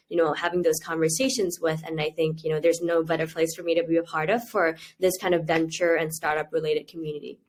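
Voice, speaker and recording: A young woman from Thailand, a university student, talking earnestly in a casual interview, with a lot of "you know" and "like". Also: lowish voice. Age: 20s. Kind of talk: casual video-call interview.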